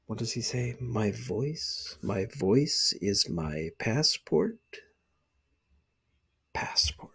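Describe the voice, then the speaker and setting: Male ASMR creator, mid-pitched, extremely breathy, half-whispered, pausing to think aloud through a roleplay. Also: big pitch swings, pushed and bright. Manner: murmured, often dropping into a whisper. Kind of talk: ASMR roleplay, soft-spoken personal rambling.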